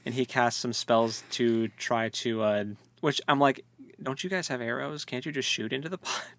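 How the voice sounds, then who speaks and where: A man's voice: medium pitch, normal speed, gravelly, fairly bright tone. A man co-hosting a podcast, retelling a story in casual, slangy, jokey conversation. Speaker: podcast co-host. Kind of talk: casual book discussion chat.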